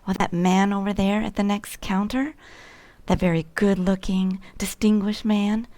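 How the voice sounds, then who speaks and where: A woman's voice: medium pitch, steady tone, a little slow, warm tone, natural voice. Lovestruck spinster sales clerk played by a woman, coy, gushing and flirtatious, vintage-style radio sitcom. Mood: dreamy, admiring. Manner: gushing, flirtatious, sometimes breathy. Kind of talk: old-time radio sitcom acting.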